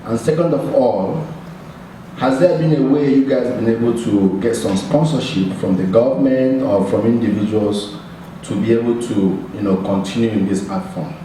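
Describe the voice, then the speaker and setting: Deliberate, slow-talking man from the audience; far from the mic in a reverberant hall. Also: medium pitch, mellow. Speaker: audience member asking a question. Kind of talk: audience question. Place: large assembly hall. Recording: echoey hall from far off, faint noise.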